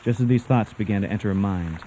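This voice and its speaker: An actor playing a grave storyteller, narrating a suspense tale for radio drama in a theatrical, deliberate manner. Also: male voice, fairly low pitch, mellow, a little hiss or hum, natural voice. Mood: tense, dramatic. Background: music.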